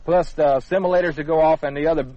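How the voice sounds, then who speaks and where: A slightly high-voiced man explains technical matters informally. His phrasing is plain and folksy, and the sound is a dull, dry vintage radio recording. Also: steady tone, fast talker, no echo.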